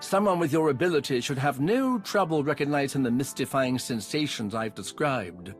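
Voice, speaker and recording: A man's voice: medium pitch, lively intonation, moderate pace, lightly acted. A man playing an enthusiastic, courteous archaeologist in a video game, speaking in a formal, effusive, wordy way. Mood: courteous, eager. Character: enthusiastic, courteous male archaeologist expedition leader, scholarly and eager. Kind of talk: video game dialogue acting. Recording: music behind the voice.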